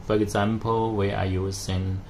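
Low-voiced, slow man demonstrating a product. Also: flat delivery. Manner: halting, step-by-step delivery. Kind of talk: hands-on product tutorial narration. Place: indoors at a desk with devices. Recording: noticeable background noise.

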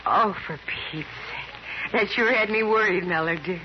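A woman's voice: medium pitch, a little slow, close to an everyday voice. An actress playing a witty, smitten female private detective in a 1950s radio drama, with flustered, exclamatory delivery. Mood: puzzled. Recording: muffled, noisy old radio recording.

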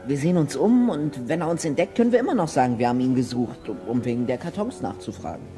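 A man's voice: mid-range voice, animated, fast, warm tone, faint music behind, lightly acted. A male actor playing a bold, wry boy detective in a children's audio drama, with a theatrical manner. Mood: scheming.

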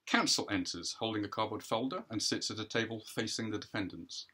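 A man's voice: fairly low pitch, fairly quick, pushed and bright, breathy edge. A man in his sixties reads out stage directions from a script in a plain narrating style. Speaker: playwright reading own script. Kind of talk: scripted read-through, reading stage directions. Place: small dim room indoors, reclining.

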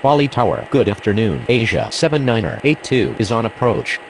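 A mid-pitched, creaky male air traffic voice , acted by a man for a game. His intonation moves around while the phrasing stays measured and procedural, with faint noise behind. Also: expressive. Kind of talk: scripted aviation radio phraseology.

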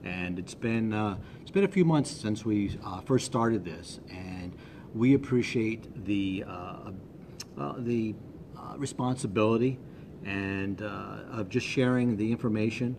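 Brief: male moderator, lowish voice, hesitant delivery, noticeable background noise. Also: darkish tone. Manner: hesitant, halting closing remarks. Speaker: town hall moderator. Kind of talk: moderating a town hall. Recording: noisy recording.

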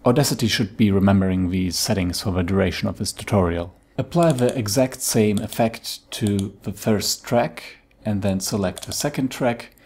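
Low-voiced man, slow tutorial narration. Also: a little mellow, slight background noise. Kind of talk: step-by-step software tutorial voice-over.

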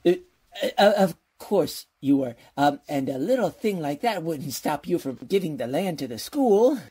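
Lady doing a fussy flustered old professor guy, husky n swoopy, stammers a lot, old radio comedy. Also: deep voice, sing-song, moderate pace, mellow, heavily acted. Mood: nervous, ingratiating. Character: blustering, flustered older male college professor.

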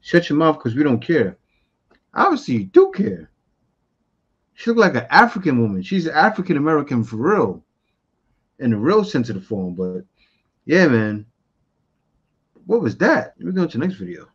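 A man's voice: on the high side, normal speed, pauses often, breathy edge, muffled recording. A man in his forties hosting a reaction show, talking bluntly and animatedly into a close studio mic in a small room. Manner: animated, blunt, talks back at clips. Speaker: host reacting to clips. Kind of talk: casual reaction commentary. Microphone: studio mic close to mouth.

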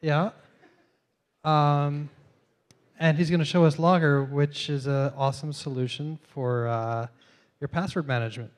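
A man's voice: fairly high pitch, steady tone, unhurried, pauses often. A man hosting a startup demo night, introducing the next presenter in an upbeat way. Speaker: event host. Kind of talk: emcee introductions.

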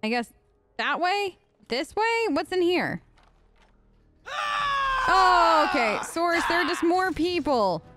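A woman's voice: extremely high pitch, big pitch swings, takes it slowly, long pauses, raised voice, very noisy. A woman doing chatty, sarcastic in-character commentary over a video game, close on the mic. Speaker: gaming video host.

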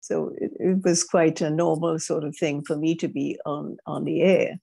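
An older woman with a British-Caribbean accent, her voice low and mellow. She recalls her past in a measured, reflective way, often restarting her sentences, speaking over earbuds on a video call. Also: steady tone, creaky, husky. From Grenada. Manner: measured, thoughtful, with frequent restarts. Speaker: guest speaker. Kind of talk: reflective interview storytelling. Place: home room, indoors. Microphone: wired earbuds on a video call.

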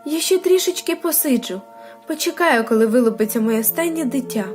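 A gentle mother duck from a children's storybook, voiced by a woman, speaks in a high, tender voice. She is protective and earnest, with music playing behind her. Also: expressive, a little fast. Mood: patient.

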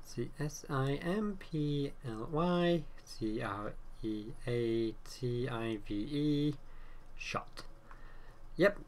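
A man's voice: mid-range voice, very slow, a little mellow. A man with a cold and a stuffed, nasal voice, chatting and joking at himself while playing a game. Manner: chatty, self-mocking, reacts aloud while playing. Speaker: gaming channel host. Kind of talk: casual gameplay commentary. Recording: game sounds and keyboard noise behind.

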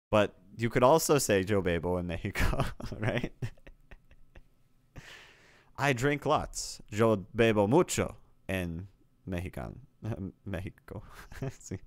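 A man with a very low, clear voice works through practice sentences at an unhurried pace, repeating each phrase and catching his own slips. The sound is dry and close to the mic. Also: lively intonation, dry recording. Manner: repeats app sentences aloud, chuckling, self-correcting. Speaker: streamer learning Spanish. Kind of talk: casual commentary while playing a language app.

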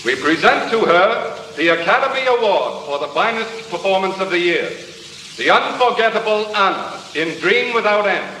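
Bright, declamatory awards host. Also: male voice, high voice, lively intonation, moderate pace. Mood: formal, grand. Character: formal, pompous master of ceremonies at awards banquet. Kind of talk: 1930s radio acting. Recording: echoey, faintly noisy old radio recording.

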